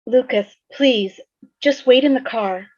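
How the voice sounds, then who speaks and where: A shaken, defensive teenage girl, acted by a woman in an amateur table read. Her voice is fairly high and unhurried, heard dry over slightly dull video call audio. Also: darkish tone, no echo. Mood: curt, defensive.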